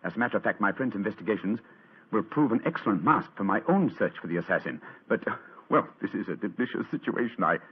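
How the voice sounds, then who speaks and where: An actor playing a confident English gentleman detective, precise and formal, in an old radio drama. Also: on the low side, animated, husky. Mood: excited, amused. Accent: British. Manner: precise, formal, quick-witted. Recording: muffled, band-limited old radio recording.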